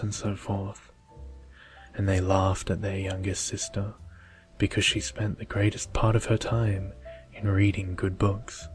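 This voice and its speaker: A young man speaks gently in a soothing ASMR reading style. His pitch sits a little low and stays very flat, with measured phrasing. Quiet music plays softly underneath. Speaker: video creator reading a bedtime story. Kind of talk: soft ASMR storybook reading and intro.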